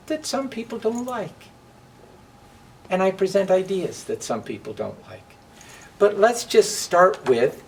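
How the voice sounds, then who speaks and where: A man speaking informally and deliberately as a presenter, drawing out words for emphasis. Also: sits high. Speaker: presenter and drag performer. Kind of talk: informal personal talk and story hour introduction. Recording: clear steady hum of background noise.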